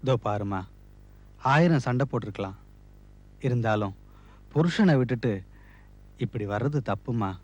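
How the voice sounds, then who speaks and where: A man's voice: mid-range voice, animated, normal speed, long pauses, darkish tone, natural voice. An actor playing a concerned middle-aged father-in-law in a TV family serial. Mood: grave, pleading. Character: concerned father-in-law, protective of his married daughter. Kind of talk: Tamil TV serial acting. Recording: dull lo-fi TV audio.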